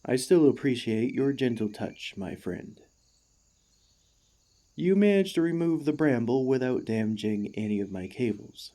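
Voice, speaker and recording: A man playing an emotional, excitable warforged ex-gladiator in an ASMR roleplay, gentle and devoted, with earnest, formal, halting speech. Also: mid-range voice, natural voice. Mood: relieved, grateful.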